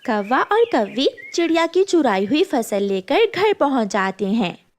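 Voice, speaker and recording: A woman's voice: sits high, sing-song, measured pace. A woman narrator reading a scripted children's story for a cartoon.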